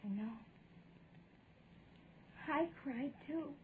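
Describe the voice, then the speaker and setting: High, plaintive little ghost girl. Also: drawn-out words. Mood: timid, plaintive. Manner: plaintive, earnest, childlike. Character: wistful, needy little ghost girl longing for love. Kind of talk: vintage radio drama acting. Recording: noisy, narrow-band vintage radio recording.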